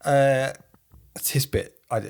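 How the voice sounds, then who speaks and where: Guy with a croaky cold voice chatting casually as podcast co-host. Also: mid-range voice, drawn-out words, darkish tone. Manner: conversational, asks questions. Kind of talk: casual chat about racing.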